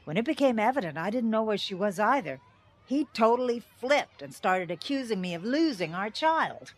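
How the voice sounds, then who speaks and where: Bitter middle-aged woman teacher, husky, swooping pitch, wry, theatrical, audio drama. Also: very animated, normal speed. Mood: wry, resentful. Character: bitter, sardonic small-town teacher, middle-aged mother. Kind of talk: audio drama interview testimony.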